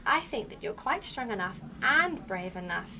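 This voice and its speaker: A woman voicing a gentle, encouraging mother owl in a children's storybook, reassuring and motherly. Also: fairly high pitch, sing-song, moderate pace, background noise.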